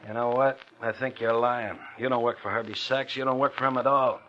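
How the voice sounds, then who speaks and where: A man's voice: medium pitch, level tone, fairly quick, a little hiss or hum, lightly acted. An actor playing a brash, wary, shady novelty salesman with slick patter and an American accent in an old radio thriller. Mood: suspicious, needling. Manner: slick patter, calls everyone "brother". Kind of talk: 1940s-50s radio thriller acting. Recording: dull vintage radio recording.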